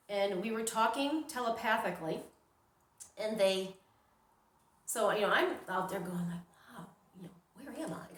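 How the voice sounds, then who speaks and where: A middle-aged woman shares a personal story in a casual, conversational style. Her voice sits at a medium pitch, and she retells things dramatically, slipping into small acted reactions. The recording is noticeably roomy, with echo around her words. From New York, United States. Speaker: storyteller, vlogger. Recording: roomy, echoing sound.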